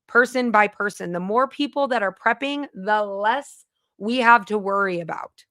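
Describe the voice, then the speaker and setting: A woman's voice: medium pitch, normal speed, fairly bright tone, no room sound, clean studio sound. A woman hosting a casual live stream from her home, chatting and reading viewer comments aloud. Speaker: livestream host.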